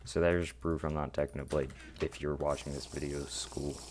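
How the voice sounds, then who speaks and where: A teenage boy with a deep voice, rambling awkwardly and putting himself down while commenting on his own gameplay. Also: little pitch movement, moderate pace, a little mellow, a little hiss or hum. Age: teens. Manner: rambling, self-deprecating, awkward. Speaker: gaming channel host.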